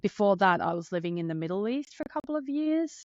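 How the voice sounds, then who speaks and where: A woman's voice: mid-range voice, very animated, fast, dry recording. Finnish accent woman in her 30s, earnest and kinda nervous, dry close mic, bit dull sounding. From Finland. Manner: earnest, openly nervous, heartfelt. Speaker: podcast host. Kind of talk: solo podcast monologue.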